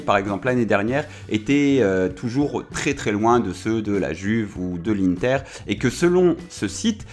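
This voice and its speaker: A man hosting a casual football video, talking in a chatty way with many fillers and self-corrections. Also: mid-range voice.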